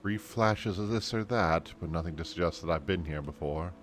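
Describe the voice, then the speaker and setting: A man voicing a brooding, troubled amnesiac warrior in a video game, solemn and halting. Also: low-pitched, faint music behind, natural voice. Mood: uneasy.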